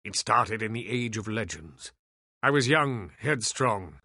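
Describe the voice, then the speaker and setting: Sardonic, weary old elf sage. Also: male voice, fairly low pitch, expressive, pushed and bright, no room sound, clean studio sound, full character voice. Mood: regretful. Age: older. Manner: sardonic, theatrical, deliberate. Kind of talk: video game voice acting.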